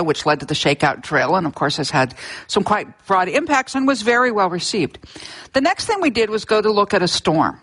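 An older woman from Southern California, a scientist guest telling disaster history in a relaxed interview, with frequent restarts. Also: fairly low pitch. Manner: explanatory, with frequent restarts and fillers. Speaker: seismologist guest discussing her book.

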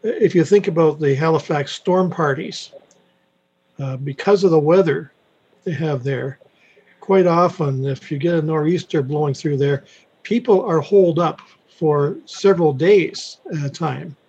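Mellow, husky man on a dull line. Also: a little high, a little slow. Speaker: book club member. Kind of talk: casual book discussion. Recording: dull conference call audio.

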